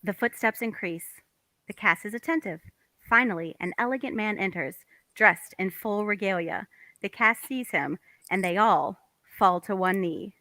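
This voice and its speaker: Bright-voiced woman reading stage directions. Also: medium pitch, normal speed, no echo. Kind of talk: scripted narration, reading stage directions aloud.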